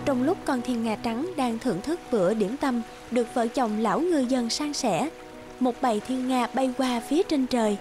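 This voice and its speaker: Woman reading a fairy tale, high voice, music playing behind her. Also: moderate pace. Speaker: narrator. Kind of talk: scripted fairy-tale narration. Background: background music.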